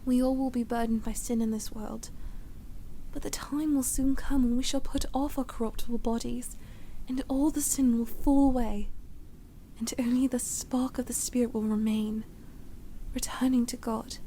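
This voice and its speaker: A woman playing a patient, pious schoolgirl who speaks softly and earnestly in an audio drama. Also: a little high, steady tone, normal speed, a little hiss or hum, close to an everyday voice. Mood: gently earnest.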